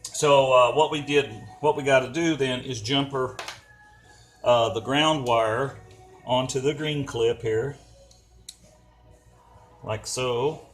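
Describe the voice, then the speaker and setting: A man's voice: medium pitch, fairly even intonation, slow pace, long pauses, faint music behind. An American man with a folksy, rambling, colloquial way of talking, giving casual hands-on commentary as he does DIY car repairs.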